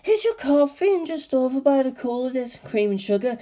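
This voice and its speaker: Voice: high-pitched, very animated, brisk, warm tone. A performer voicing a polite female office receptionist with a sinister edge in a film script reading.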